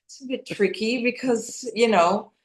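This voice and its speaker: A woman, a native Dutch speaker, answering interview questions about her acting work in a lively, chatty way with many restarts. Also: a little high, a little slow. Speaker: actress and executive producer. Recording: roomy echo.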